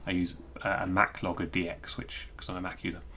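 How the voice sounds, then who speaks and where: A man giving an informal technical screencast walkthrough, thinking aloud in a halting, self-interrupting way. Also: deep voice, completely flat delivery, sharp tone, slight background noise. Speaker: presenter explaining technical material.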